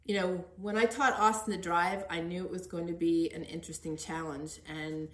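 A woman's voice: lowish voice, little pitch movement, normal speed, reverberant. A middle-aged woman hosting a casual conversational interview in an encouraging manner. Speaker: podcast host interviewing her son.

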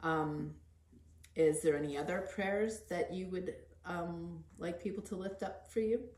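A woman hosting an informal podcast interview, gently prompting and encouraging. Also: on the low side, unhurried. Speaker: podcast host. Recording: roomy echo.

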